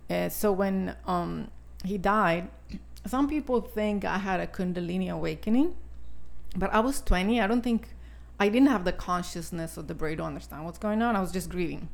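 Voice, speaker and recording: A woman in her sixties from Italy, speaking English with an Italian accent as a podcast guest, talking in a halting way with many fillers and restarts. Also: lowish voice, gravelly. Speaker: podcast guest, spiritual teacher. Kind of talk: casual conversation.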